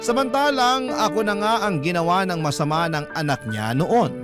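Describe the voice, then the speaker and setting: A man's voice: high-pitched, lively intonation, normal speed. A man with a Filipino accent reading a letter aloud as a radio host, in a dramatic storytelling style. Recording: music bed behind narration. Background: instrumental music.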